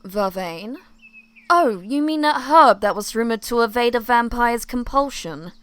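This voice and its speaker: A woman's voice: fairly high pitch, lively intonation, a little slow, fairly bright tone, clear, resonant tone, no echo. A woman playing a suspicious, secretive supernatural ally, serious and matter-of-fact, in amateur roleplay acting.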